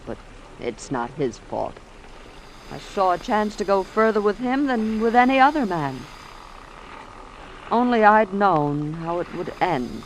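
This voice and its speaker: A woman's voice: on the low side, low-quality recording. An American woman acting an anxious, ambitious secretary in an old-time radio suspense drama, with an earnest, melodramatic delivery. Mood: rueful. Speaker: actress playing the secretary. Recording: vintage radio drama, faint background noise.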